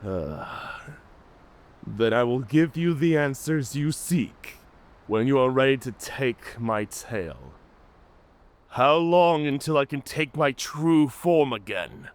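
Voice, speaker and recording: A man's voice: medium pitch, expressive, takes it slowly. A man playing a wounded, wry, talkative werewolf in human form, theatrical and bantering, in an audio drama. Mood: wary, bargaining. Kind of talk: cinematic audio roleplay acting.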